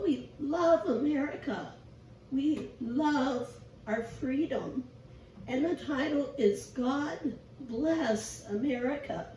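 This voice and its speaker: A woman with a squeaky, raspy voice gives a chatty sermon in church and asks the congregation questions. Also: darkish tone, recorded from a distance. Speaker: lay preacher. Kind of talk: informal sermon. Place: church sanctuary. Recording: echoey church from afar, faint hiss.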